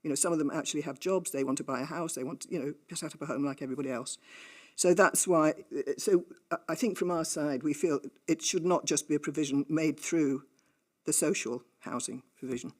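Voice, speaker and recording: A man with a slightly high voice, speaking earnestly at a formal hearing. He explains his point step by step, leaning on fillers as he goes. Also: fairly quick. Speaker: hearing participant.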